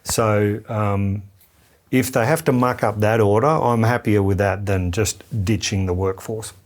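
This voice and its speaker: A man's voice: on the low side, steady tone, unhurried. A man with an Australian accent chatting as an interview guest, colloquial and frank in the way he talks. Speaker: interview guest, ministry apprenticeship leader. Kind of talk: casual conversation.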